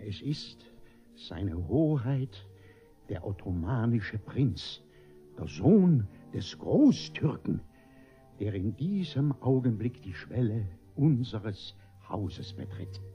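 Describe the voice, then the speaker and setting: An actor playing a vain, gullible, blustering father in a radio comedy, excitable and self-important, often repeating his words. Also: on the low side, slow. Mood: solemnly proud. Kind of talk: German radio play comedy acting. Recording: dull radio recording with music.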